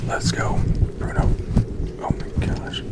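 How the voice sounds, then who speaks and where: A young man hosting a casual livestream, greeting viewers and playfully scolding his dog in a whispery voice. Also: slow. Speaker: livestream host.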